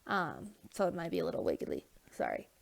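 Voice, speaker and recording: A woman's voice: low voice, wildly swooping pitch, fairly quick, gravelly. A young woman teacher with a stuffy nose giving a casual remote lesson, chatty with many fillers and okay check-ins, recorded on a phone.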